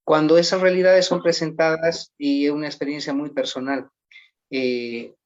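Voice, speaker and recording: A man answering interview questions at length in a measured, formal way, often pausing with "eh". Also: a little high, level tone. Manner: measured, formal, frequent "eh" pauses. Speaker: guest. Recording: dull lo-fi audio.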